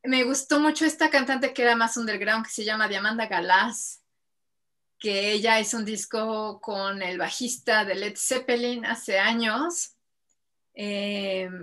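Mexican woman, 30s, singer guest, bright and a touch high, chatty with fillers, webcam audio from home. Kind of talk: casual interview over video call. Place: home room with bookshelves and string lights. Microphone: video call webcam.

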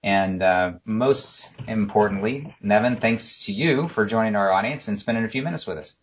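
A man with a fairly low voice hosts a casual podcast interview and talks in a friendly, easygoing way. He often pauses with fillers, and the audio sounds dull and lo-fi. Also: a little hiss or hum. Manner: friendly, relaxed, with frequent "uh" fillers. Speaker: podcast host.

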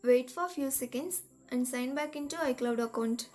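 High-voiced woman narrating a tutorial. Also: moderate pace, a little mellow. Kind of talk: scripted step-by-step tech tutorial narration. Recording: voice-over with music behind. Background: background music.

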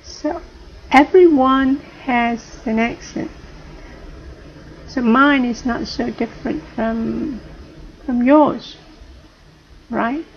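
A middle-aged woman with a British colonial accent talks slowly to her webcam at home, often rephrasing herself. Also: a little high. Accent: British colonial English. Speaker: vlogger. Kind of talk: casual talk to camera. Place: home room, indoors. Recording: dull lo-fi webcam audio, faint hiss.

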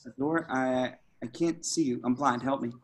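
A man acts out an awkward young slacker, smitten and a little dim. He speaks haltingly at a medium pitch, tripping over his words with nervous earnestness, as in a casual movie script read-through. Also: steady tone, a little hiss or hum, close to an everyday voice. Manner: halting, stammering, hesitant. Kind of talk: amateur screenplay table reading. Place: online group video call.

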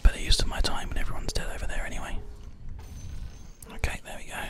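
A gaming host whispering ASMR gameplay commentary very close into a binaural microphone. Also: fast talker. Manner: hushed whispering throughout. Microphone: close binaural microphone, ear to ear.

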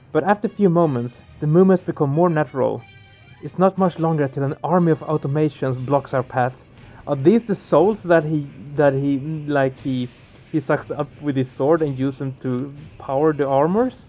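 Let's play host, male: slightly high, reactive and expressive, reading story text over light music. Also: moderate pace.

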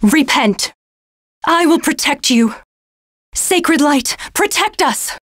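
A woman voicing a gentle, devout young cleric in a game, speaking earnestly and solemnly. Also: high-pitched, animated, slow pace, no room sound, exaggerated voice. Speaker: game character. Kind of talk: game voice clips. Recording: dry studio recording.

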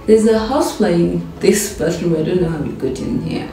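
A chatty young woman talks through getting ready, playful and prone to repeating herself. Her voice bounces off hard bathroom tiles and sits back from the camera. Soft music plays underneath. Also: fairly low pitch, brisk. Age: young adult. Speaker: vlogger and home baker. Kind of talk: casual get-ready-with-me vlog chat. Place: bathroom with tiled walls and glass shower. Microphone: camera set back across the room. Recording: echoey bathroom, heard from a distance, soft music.

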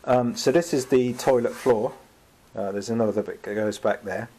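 A man's voice: mid-range voice, normal speed, mellow, echoey room, far from the mic, poor audio. A man in his thirties with the flu, casually explaining his boat build while working in a cold garage workshop. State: has the flu.

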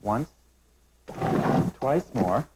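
A middle-aged man hosting a cooking show, calmly walking through each step of a recipe in a studio kitchen with a lapel mic. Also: medium pitch, very slow, heavy background noise. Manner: calm step-by-step instructive delivery. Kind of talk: scripted cooking demonstration.

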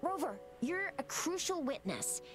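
An actress voicing an eager, upbeat young woman patrol officer who talks in a lively, chatty way in a game. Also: high voice, unhurried, over music.

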